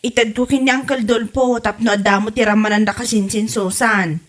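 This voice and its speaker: A kind-hearted young daughter-in-law, played by a woman in a comedy audio drama. Her voice sits high. She sounds exasperated and argues in a pleading, reproachful way. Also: fairly even intonation, fairly quick, close to an everyday voice. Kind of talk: comedy radio drama acting.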